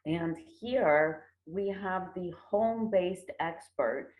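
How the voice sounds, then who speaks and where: American lady doing a conference talk, kinda low voice, echoey room, thin phone-like sound. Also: slow pace. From United States. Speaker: conference presenter, traditional medicine researcher. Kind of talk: formal academic presentation. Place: indoor room, remote online presentation. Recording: roomy, tinny phone-like online call audio.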